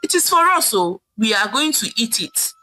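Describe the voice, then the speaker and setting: A woman's voice: on the high side, big pitch swings, normal speed, sharp tone, soft background music, rough recording. A woman voicing a defiant middle-aged Nigerian wife in a folktale, scolding and taunting with emphatic delivery and a Nigerian accent. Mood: smug defiance.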